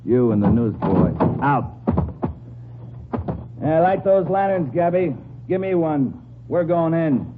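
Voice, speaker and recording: A man's voice: fairly high pitch, expressive, slow, long pauses. An actor playing a sneering, bullying gangster in a 1950s radio crime drama, with slangy tough-guy talk. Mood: angry, threatening. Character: menacing, sneering male gangster, bullying and impatient. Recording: muffled, noisy old 1950s radio recording.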